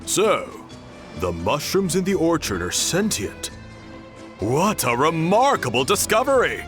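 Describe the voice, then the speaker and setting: Kindly, very animated tribesman. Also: fairly high pitch, unhurried, faint music behind. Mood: thoughtful, supportive. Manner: reassuring, thoughtful. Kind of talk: video game voice acting.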